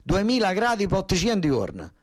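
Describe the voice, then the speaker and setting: Southern italian guy doing a one-man theatre monologue, super animated, emphatic, repeats stuff over and over, folksy. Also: high-pitched, a little fast. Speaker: solo stage actor and storyteller. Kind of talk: theatrical monologue in dialect-tinged, colloquial Italian.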